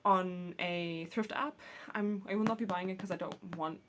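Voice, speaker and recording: A woman's voice: on the low side, fairly even intonation, normal speed, noisy background. Chatty woman with a slight cold. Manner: chatty, candid, restarts and swears casually. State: has a cold, a bit phlegmy. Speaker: vlogger. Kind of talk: casual talk to camera. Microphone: camera mic, reading with books on her lap.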